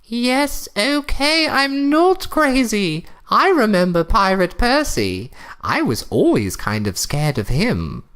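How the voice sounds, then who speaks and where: A woman's voice: medium pitch, big pitch swings, slow pace, hardly any pauses, a bit bright. A woman playing a snooty, fussy older wife in a comic story reading, with a prim, theatrical, condescending delivery.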